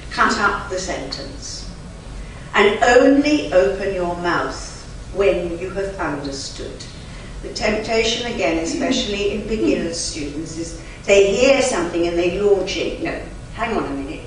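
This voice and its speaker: A woman whose first language is German, a conference interpreter giving an emphatic, chatty lecture to a live audience with lively asides. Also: on the low side. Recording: very echoey hall, from far off, faint noise.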